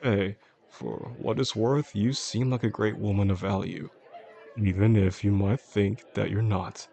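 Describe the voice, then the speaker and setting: Man acting low, gravelly, attentive romantic boss. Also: fairly even intonation, unhurried, mellow. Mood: tender, flirtatious. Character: charming attentive boss courting his employee, quietly possessive man. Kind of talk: intimate audio roleplay, addressing the listener. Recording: faint restaurant crowd behind the voice.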